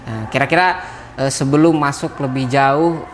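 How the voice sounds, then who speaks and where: A man hosting a podcast interview, with a voice of middling pitch. He pauses often on fillers as he introduces his guest. Faint room ambience hangs in the background. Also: fairly even intonation, slight background noise. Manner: hesitant, many "eh" fillers, laughs often. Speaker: podcast host.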